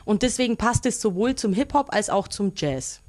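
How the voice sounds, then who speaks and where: A woman in her twenties from Augsburg, a musician answering interview questions in a lively, giggly way. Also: medium pitch, normal speed, noisy background. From Augsburg, Germany. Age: 20s.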